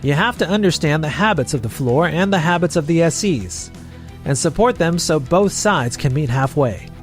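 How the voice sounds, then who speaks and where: A man's voice: a little high, expressive, moderate pace, soft background music, close to an everyday voice. Man acting a blunt, assured elder brother. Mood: stern, admonishing. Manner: assured, admonishing. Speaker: voice actor and narrator in manga dub. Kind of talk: dramatized manga story dub.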